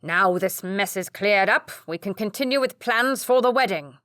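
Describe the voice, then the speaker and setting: A woman's voice: mid-range voice, expressive, normal speed, fairly bright tone, no room sound, clean studio sound, lightly acted. A woman voicing a domineering, scheming older nobleman who gives orders in a lazy drawl, in a storybook reading. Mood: commanding.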